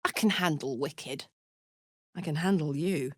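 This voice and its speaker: Blunt, unhurried devilish warrior woman. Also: low-pitched, dry recording, clean studio sound. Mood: earnest. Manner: blunt, earthy, sweary. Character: tough, big-hearted female devil-touched warrior escaped from hell. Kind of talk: video game character voice acting.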